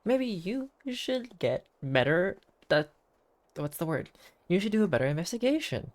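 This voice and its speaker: A man's voice: sits high, sing-song, normal speed. A man streaming a game with casual, joking commentary, rambling playfully as he reacts. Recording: game music behind. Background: game music.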